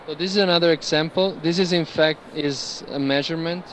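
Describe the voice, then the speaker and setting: A man's voice: fairly high pitch, fairly even intonation, a little fast. Man guiding an art tour over noise. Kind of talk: informal explanatory talk on artworks. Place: large indoor art fair hall. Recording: clear background noise, busy fair hall.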